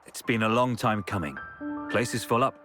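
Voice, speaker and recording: Animated, ambitious male comrade, played by an actor. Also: on the low side, normal speed, close to an everyday voice. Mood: hopeful. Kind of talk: video game cutscene acting. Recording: clear music behind the voice.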